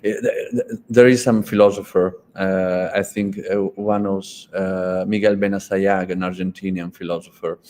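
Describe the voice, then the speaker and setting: An Italian-speaking man interviewing a guest in English, halting and restarting often, with many fillers. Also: low voice, level tone, a little mellow, slight background noise. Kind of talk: conversational interview.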